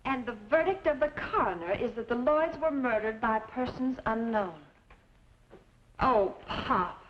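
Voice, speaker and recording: Outspoken young western gal, earnest, highish voice, muffled old recording. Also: female voice, expressive, measured pace. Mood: indignant, pleading. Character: earnest, outspoken young frontier newspaperman's daughter. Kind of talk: old western screen drama acting. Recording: dull old soundtrack, faint hiss.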